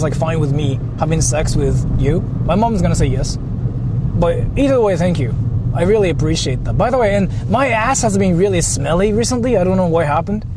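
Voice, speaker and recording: A man livestreaming from a moving car, chatting casually in a rambling, repetitive way. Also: high voice. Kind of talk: casual livestream chat while driving. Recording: loud car and road noise. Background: vehicle driving noise.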